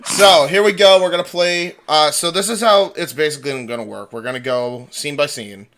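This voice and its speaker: A man's voice: on the high side, normal speed, fairly bright tone. A man hosting a casual livestream, chatting informally with plenty of swearing and repeated phrases. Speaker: livestream host.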